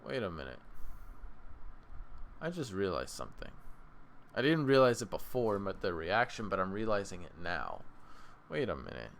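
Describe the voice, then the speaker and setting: A man hosting his own channel, casually reviewing an anime late at night, rambling and restarting his sentences, with sudden excited bursts. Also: sits low, moderate pace, vocal fry, long pauses. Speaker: anime reaction channel host. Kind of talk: casual solo review talk.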